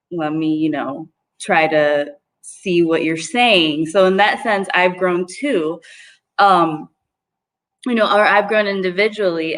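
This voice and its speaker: A young woman from Puerto Rico speaking thoughtfully as a panelist, with many "like" and "you know" fillers. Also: lowish voice. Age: young adult. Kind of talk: casual panel discussion.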